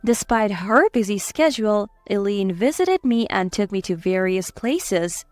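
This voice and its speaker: A woman's voice: medium pitch, expressive, a little slow, darkish tone, dry recording, soft background music. A woman reading scripted dramatic story narration.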